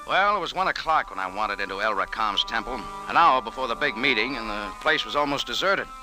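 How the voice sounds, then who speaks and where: An actor playing a tough, wisecracking American café owner and adventurer, clipped and sardonic, in old-time radio style. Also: male voice, medium pitch, animated, fast, loud music behind the voice, close to an everyday voice, poor audio. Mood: tense narration. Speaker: lead actor and narrator. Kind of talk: old-time radio detective acting and narration.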